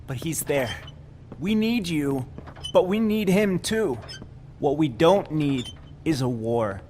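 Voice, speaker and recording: A man's voice: fairly high pitch, expressive, takes it slowly, a little mellow, slight background noise. An actor playing a meek, dutiful doorman in a video game, polite, hesitant and pleading. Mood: earnest pleading. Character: meek, earnest, dutiful little male doorman.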